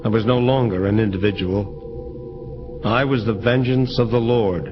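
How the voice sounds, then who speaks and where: An actor playing a world-weary angel of vengeance, narrating gravely in hardboiled detective style, measured and deliberate. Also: male voice, on the low side, natural voice. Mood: grave, ominous. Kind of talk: first-person hardboiled detective narration, audio drama. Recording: dull lo-fi audio under loud music.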